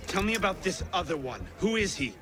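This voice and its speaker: An actor playing a wry, determined young assassin investigator in a video game, with dry, controlled delivery. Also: male voice, high voice, big pitch swings, a little fast, music in the background. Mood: stern. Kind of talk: dramatic video game acting.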